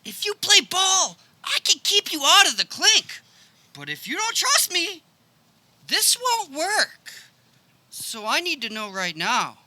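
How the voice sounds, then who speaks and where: A woman's voice: very high-pitched, wildly swooping pitch, slow pace, bright, forceful tone, no echo, full character voice. A woman playing a cocky, bossy stoner lawyer bro in a comedic radio play. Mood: persuasive. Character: brash slacker stoner dude playing self-important lawyer. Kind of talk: comedic radio theater acting. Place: backyard, live performance.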